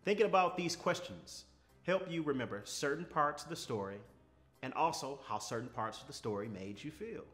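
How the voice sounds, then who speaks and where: A man in his thirties reading a story aloud to children in a measured, expressive way. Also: on the high side, soft background music. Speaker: story reader. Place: small furnished room, indoors.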